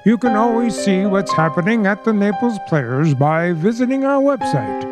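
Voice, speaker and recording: High-pitched, animated male announcer. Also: normal speed. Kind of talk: scripted closing announcement. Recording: music behind the voice. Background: music.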